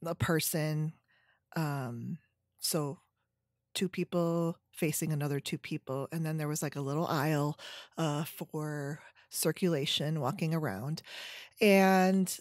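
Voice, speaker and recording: A woman in her forties hosting a solo podcast chat at home, her voice scratchy from a sinus infection, with lots of ums and trailing pauses. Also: low voice, fairly even intonation, no room sound, clean studio sound. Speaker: podcast host. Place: home room with bookshelves. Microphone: headset.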